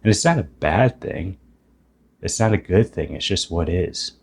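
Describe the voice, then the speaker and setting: A man in his thirties with a very low, deep voice talks to his channel's viewers. He muses out loud about spiritual ideas, often starting a sentence over. His tone stays reflective and a little self-deprecating. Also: animated, unhurried, creaky, slightly rough. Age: 30s. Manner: musing, self-deprecating, thinking aloud with restarts. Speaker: YouTuber.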